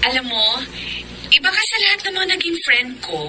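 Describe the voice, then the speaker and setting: A woman's voice: high voice, very animated, fairly quick. An actress playing Jenny, a restless young working woman with a secret male friend, in a Filipino radio drama. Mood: teasing, fond. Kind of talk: Filipino radio drama acting and letter narration. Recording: music bed or vehicle noise behind.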